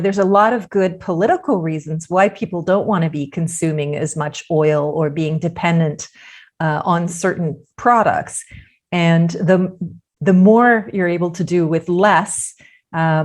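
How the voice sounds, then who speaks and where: Low-pitched woman on a remote call, talks slow and thoughtful with lots of "you know". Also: darkish tone. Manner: thoughtful, measured, many "you know" fillers. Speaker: author interviewed as guest. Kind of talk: podcast interview.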